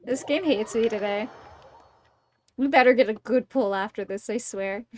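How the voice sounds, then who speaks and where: A woman's voice: fairly high pitch, unhurried, slight background noise. A woman doing casual live gameplay commentary, chatty and playfully grumbling, often muttering to herself as she plays. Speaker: streamer playing a video game. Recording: game music behind the voice. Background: video game music.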